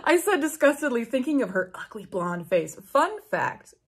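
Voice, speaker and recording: A young adult woman reading fan fiction aloud with animated, sarcastic casual commentary and free swearing. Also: a little high, normal speed, husky, faint music behind. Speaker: book video host. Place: small room at home with bookshelves.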